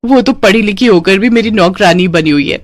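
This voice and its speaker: A woman voicing a haughty, boastful young daughter-in-law in a cartoon story, sharp and condescending. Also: mid-range voice, brisk, dry recording, clean studio sound, natural voice. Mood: smug.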